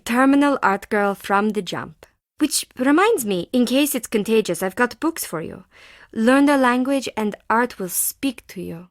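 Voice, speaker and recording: High-voiced, anxious woman artist, dry studio sound. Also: expressive, unhurried, no room sound. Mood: earnest, nerdy. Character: timid, anxious, defensive woman artist and forger. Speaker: video game voice actor. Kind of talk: scripted video game character dialogue.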